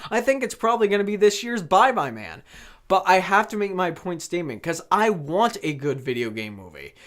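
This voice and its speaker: A man's voice: high-pitched, fairly bright tone. A man hosting an opinion commentary for viewers, speaking emphatically and repeating words for stress. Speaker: host of a film commentary channel.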